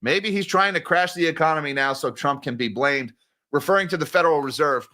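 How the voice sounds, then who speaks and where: A man hosting a live-stream news commentary, talking in an animated, exasperated, ranting way. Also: a little high, brisk. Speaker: host.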